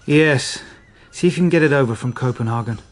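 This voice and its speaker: A man playing a composed senior police detective in a naturalistic radio drama, measured and probing in manner. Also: medium pitch, lightly acted. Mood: focused. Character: composed senior male police detective leading a murder inquiry. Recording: music behind the voice.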